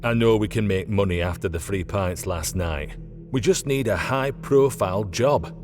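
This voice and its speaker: A man's voice: lowish voice, normal speed, darkish tone, soft background music, close to an everyday voice. A man voicing an eager, cheeky young man in an audiobook, casual and playful. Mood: thoughtful. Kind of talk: audiobook character voice.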